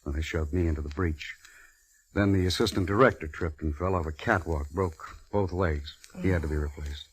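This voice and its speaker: A very low, husky male voice as a smooth Hollywood star feeling sorry for himself. He speaks in a languid, flirtatious, brooding way, in the style of 1940s radio drama. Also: normal speed, poor audio. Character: smooth, self-pitying Hollywood actor and old flame.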